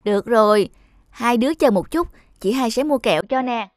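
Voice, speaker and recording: A woman playing a gentle, self-sacrificing eldest sister in a cartoon. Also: fairly high pitch, moderate pace, no room sound, studio quality, lightly acted. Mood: soothing. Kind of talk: cartoon dialogue.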